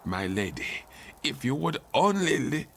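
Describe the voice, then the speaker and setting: A man's voice: mid-range voice, very animated, measured pace, vocal fry, fairly bright tone, slight background noise, full character voice. A man playing a proud, jealous faded champion in a video game, indignant and brooding. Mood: indignant pleading.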